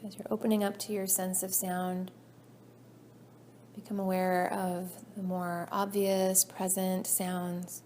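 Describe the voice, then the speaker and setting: A woman leading a guided meditation as a yoga nidra teacher, soothing and measured, her phrases trailing off softly. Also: on the low side, flat delivery. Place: indoor class room. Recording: faint background noise, live class recording.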